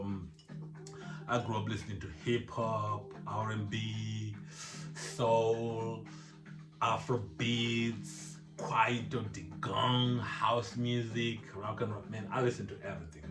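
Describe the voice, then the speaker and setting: A man's voice: on the low side, reverberant. A man from Zimbabwe hosting his own music vlog, chatting casually and rambling, with frequent laughs and lots of "man". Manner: laid-back, rambling, laughs often, says "man" a lot. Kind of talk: casual chat.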